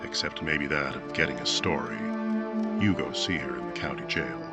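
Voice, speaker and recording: A man playing a grave, brooding narrator of a 1950s true-crime radio drama, speaking in a dramatic, deliberate way. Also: very deep voice, loud music behind the voice.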